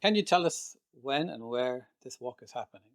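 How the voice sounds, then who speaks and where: A male interviewer asks two guests polite, straightforward questions. His voice sits at a middle pitch, and his delivery is plain and steady. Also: normal speed.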